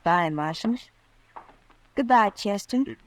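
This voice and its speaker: A woman's voice: medium pitch, animated, slow pace, a little hiss or hum. A woman with an American accent playing a guarded, polite young ranch widow in an old-time radio western. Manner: polite, restrained.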